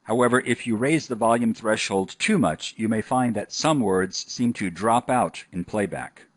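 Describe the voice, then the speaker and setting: A man with a fairly low, husky voice delivers scripted instructional narration. The sound is dry and close, as in a software tutorial. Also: normal speed, dry recording.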